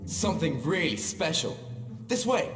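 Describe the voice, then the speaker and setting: An actor playing a mischievous, conspiratorial teenage wizard showing off in a video game. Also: male voice, fairly high pitch, very animated, measured pace. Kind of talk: video game character acting. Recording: echoey hall reverb, music behind.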